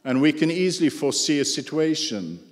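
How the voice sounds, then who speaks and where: A man with a non-native English accent giving a prepared lecture, measured and dryly ironic, with asides to the audience. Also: on the high side, expressive, faint music behind. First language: not English. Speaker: speaker giving a talk. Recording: echoey hall with long reverb.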